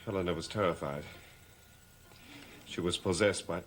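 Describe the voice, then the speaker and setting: An actor playing a suspicious, brooding master of the house in a gothic television soap, terse and interrogating. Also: male voice, low-pitched, moderate pace, fairly bright tone. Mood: troubled, grave. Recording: old TV soundtrack with music behind.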